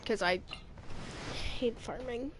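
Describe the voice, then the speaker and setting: Slow-paced, higher-voiced woman gaming. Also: slight background noise. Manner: rambling, thinking aloud. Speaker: game streamer. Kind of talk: casual gameplay commentary. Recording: game music behind the voice. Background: video game music.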